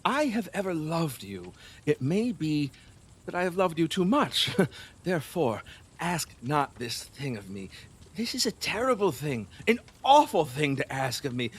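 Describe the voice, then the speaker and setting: Wheedling, superstitious king for a stage-style audio play: high, wildly swooping delivery, boastful, lustful and unsteady. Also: sing-song, slightly rough, heavily acted. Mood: wheedling, pleading. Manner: pleading, boastful, erratic, with nervous laughs and halting repeats. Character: lustful, fearful, superstitious middle-aged male king, wheedling and volatile. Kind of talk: theatrical audio play acting.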